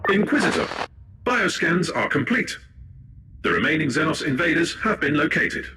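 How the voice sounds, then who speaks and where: An actor playing an efficient shipboard technician in a video game, formal and technical. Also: male voice, fairly high pitch, very animated, normal speed, slight background noise. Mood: businesslike. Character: efficient shipboard technician giving scan reports. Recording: loud music under the voice.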